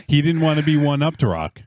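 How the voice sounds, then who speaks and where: A man's voice: a little high, a little mellow, slight background noise. A man hosting a podcast, teasing the others dryly while moving the show along. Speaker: podcast host. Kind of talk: casual chat, steering the talk.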